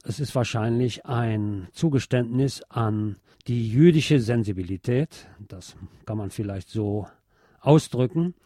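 Mellow male radio host, dry studio sound. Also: medium pitch, a little slow, no room sound. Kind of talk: film review monologue on radio.

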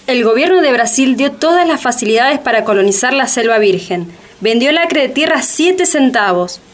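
A woman's voice: high voice, lively intonation, moderate pace. A woman narrating a radio drama in a scripted documentary style.

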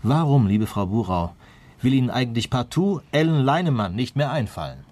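An actor playing a shrewd police detective in a radio crime play, questioning in a pointed, deliberate way. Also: male voice, mid-range voice, big pitch swings, darkish tone. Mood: probing. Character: shrewd, probing male police detective pressing a suspect. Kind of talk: German radio play dialogue.

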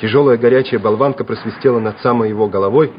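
A man's voice: mid-range voice, fast, a little hiss or hum. A man reading scripted dramatic narration for a war radio drama. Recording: dull vintage radio recording with music.

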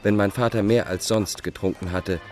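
A man's voice: on the low side, fairly even intonation, moderate pace, a little mellow, natural voice. An actor playing a bitter, self-justifying Dutch fugitive in a radio drama, setting out his letters in a formal, pedantic way. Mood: bitter, insistent. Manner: formal, pedantic, enumerating point by point. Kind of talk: radio drama monologue, letters read aloud.

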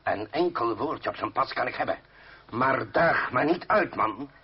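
An actor playing a blustering, hot-tempered revolutionary orator who curses and speaks bluntly in a Dutch radio play. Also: male voice, medium pitch, expressive, unhurried, breathy edge. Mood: angry, defiant. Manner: bellowing, cursing, blunt. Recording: muffled old radio play recording.

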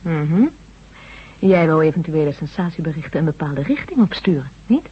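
Husky, composed brain specialist. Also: female voice, deep voice, animated, normal speed, heavily acted. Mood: inquisitive. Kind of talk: conversational radio drama acting. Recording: dull vintage 1970s radio recording.

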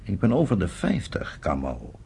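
A man's voice: deep voice, huge pitch swings, normal speed, gravelly, mellow, a little hiss or hum, full character voice. An actor playing a thoughtful, hesitant pioneer settler in his fifties in a radio drama. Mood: hesitant, concerned. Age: 50s.

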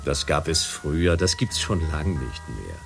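An actor playing a smooth official who soothingly sells a happiness treatment like a salesman. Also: very deep voice, animated, a little slow, music in the background, full character voice. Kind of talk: radio play acting.

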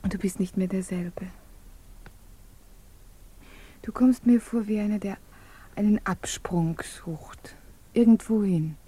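A tender, restless wife in an unhappy marriage. Her voice sits at a medium pitch and is breathy and intimate, in emotional 1950s radio drama style. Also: a little slow, dark tone. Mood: tender, hushed. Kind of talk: 1950s German radio play acting.

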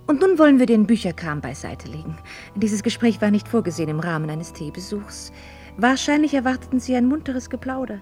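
An actress playing a candid, ironic young upper-class woman in a German radio play, speaking in articulate, formal phrases with gentle mockery. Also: mid-range voice, big pitch swings, a little fast, soft background music, natural voice. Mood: cool, ironic. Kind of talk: mid-century German radio play acting.

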